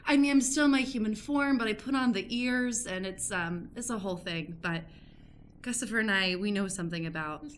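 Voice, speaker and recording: A woman's voice: medium pitch, a little fast, a little hiss or hum, natural voice. A woman playing an earnest, eccentric, slightly condescending character in an improvised radio play, hesitant and confiding. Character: earnest, eccentric, condescending woman with secret cat alter ego.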